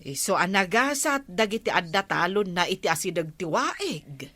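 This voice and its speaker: A woman's voice: on the low side, big pitch swings, normal speed, fairly bright tone. A woman with an Ilocano Filipino accent acting a chatty, sympathetic village woman in a radio comedy-drama. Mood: chatty, musing.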